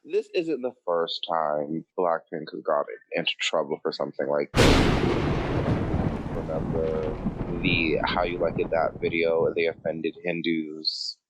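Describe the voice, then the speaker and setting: A man's voice: rumbling low, measured pace, muffled recording. A man co-hosting a podcast, chatting casually with blunt, shady humour. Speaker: podcast co-host. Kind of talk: casual opinionated podcast chat.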